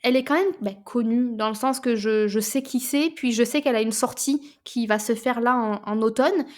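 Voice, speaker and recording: A woman, co-hosting a casual book podcast, animated and often repeating words as she searches for them. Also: on the high side, normal speed. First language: French. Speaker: podcast co-host.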